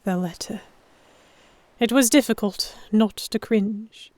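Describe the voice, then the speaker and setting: A woman's voice: medium pitch, slow, darkish tone, no echo. A woman narrating an audio drama in the first person and acting out the dialogue. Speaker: narrator and lead actor.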